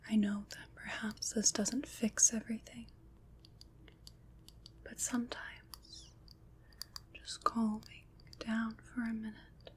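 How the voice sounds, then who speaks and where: Woman playing a slow, whispering, soothing witch. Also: a little high. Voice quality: breathy, unvoiced whisper. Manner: slow, gentle, reassuring, pausing between phrases. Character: kind, soothing witch comforting someone troubled by nightmares. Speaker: ASMR performer. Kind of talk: whispered ASMR roleplay. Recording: clear background noise.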